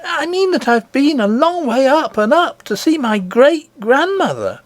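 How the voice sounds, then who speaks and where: A man's voice: extremely high pitch, very animated, measured pace, dry recording, voice pushed up, heavily acted. A man voicing an earnest, indignant little princess in a read-aloud storybook, eager and insistent in a childlike way.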